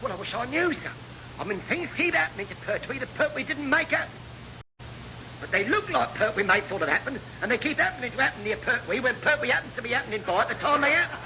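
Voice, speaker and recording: A hapless, rambling chief petty officer in vintage radio comedy. He is cheeky and wheedling, with a very high, animated voice. The sound is dull with clear background noise. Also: fast, full character voice. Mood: aggrieved, baffled. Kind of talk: classic radio sitcom acting. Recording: muffled, noisy old radio comedy recording.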